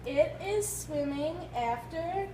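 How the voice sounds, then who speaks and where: A woman teacher reading a rhyming children's book aloud to a class, pausing deliberately so listeners can join in. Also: sits high, expressive, dark tone. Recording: echoey, noisy room, distant microphone.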